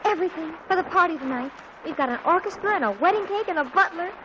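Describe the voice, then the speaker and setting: An actress playing an excitable, gushing teenage girl in a 1940s radio comedy, heard through a hissy, dull old radio recording. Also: very high voice, fast talker. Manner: gushing, bubbly.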